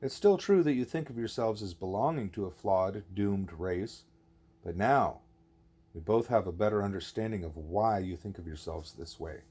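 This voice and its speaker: A man voicing a wise, patient male teacher in an audiobook, speaking in a deliberate, formal, explanatory way. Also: fairly low pitch, dark tone, breathy edge. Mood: grave, explanatory. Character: wise, patient teacher creature Ishmael, male, measured and didactic.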